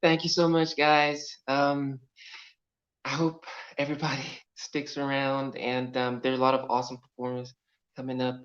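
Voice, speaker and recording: A woman's voice: very deep voice, little pitch movement, moderate pace. A woman musician casually thanking her audience and signing off over a muffled online stream. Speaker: singer and musician.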